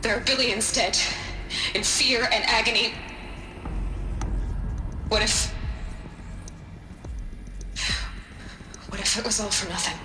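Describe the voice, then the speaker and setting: A woman's voice: on the high side, measured pace, lightly acted. A woman acting a caring, resolute lead scientist in a video game, firm and thoughtful. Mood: troubled. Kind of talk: naturalistic video game drama. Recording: echoey room with music underneath.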